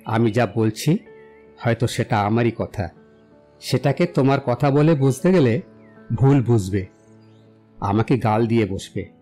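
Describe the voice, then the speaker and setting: An actor playing a self-assured young romantic in an audio drama, speaking in a poetic, declamatory and philosophizing way. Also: male voice, mid-range voice, expressive, a little slow, pauses often, warm tone, close to an everyday voice. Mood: earnest, explaining. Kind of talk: dramatized audio story acting. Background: background music.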